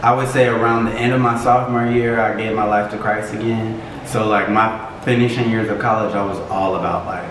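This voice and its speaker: A man's voice: on the low side, fairly even intonation, a little fast, hardly any pauses, background noise. A man, an easygoing guest in a casual chat. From Jacksonville, Florida. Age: 20s. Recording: echoey room, mic at a distance.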